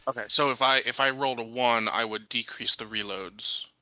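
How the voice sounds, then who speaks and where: Dude at an rpg table, really bright sharp voice, bit hesitant, dry audio. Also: male voice, medium pitch, steady tone, moderate pace, no echo, low-quality recording. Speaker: player in a tabletop game. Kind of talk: casual game-table chat.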